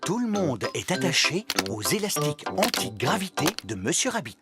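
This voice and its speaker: Animated cartoon narrator. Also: male voice, on the high side, moderate pace, loud music behind the voice. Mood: cheerful, explanatory. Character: calm storybook narrator of children's cartoon. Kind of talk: scripted narration, French cartoon dub.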